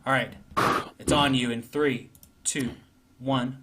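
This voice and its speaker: A man's voice: medium pitch, fairly even intonation, takes it slowly. A man co-hosting casual improvised comedy, directing a mock audition.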